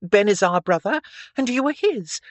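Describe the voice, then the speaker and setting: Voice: medium pitch, expressive, moderate pace, no echo, pitched above the performer's own voice, natural voice. A narrator voicing an eager, chatty, polite teenage girl of the gentry in an audiobook. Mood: eager, teasing. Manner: earnest, polite, chirpy. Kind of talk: audiobook character dialogue.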